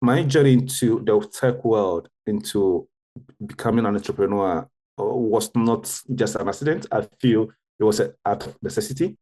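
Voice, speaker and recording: Man, tech founder guest, husky and low, earnest, restarts often. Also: moderate pace, dark tone. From Ghana. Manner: earnest, with frequent restarts and self-corrections. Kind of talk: roundtable discussion.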